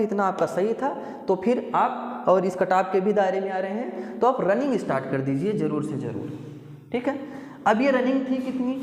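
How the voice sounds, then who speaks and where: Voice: mid-range voice, a little mellow. An exam coaching teacher from explains things informally to candidates, repeating points and often checking in with tag words. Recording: echoey, reverberant room.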